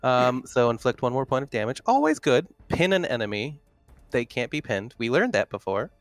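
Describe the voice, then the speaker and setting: A man with a mid-pitched, dry-sounding voice plays a tabletop game. He talks casually and works out his moves aloud. Soft music sits faintly behind him. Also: very animated, moderate pace, no room sound. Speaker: player.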